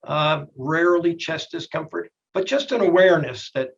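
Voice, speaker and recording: A middle-aged or older man with a slightly husky voice, a doctor explaining things in a plainspoken, folksy way in a TV health interview. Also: on the high side, moderate pace, muffled recording. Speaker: doctor, expert guest.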